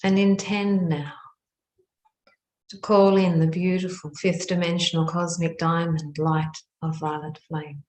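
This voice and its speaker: Female meditation guide, low and very even in tone, measured hushed phrases with pauses, roomy echoing recording.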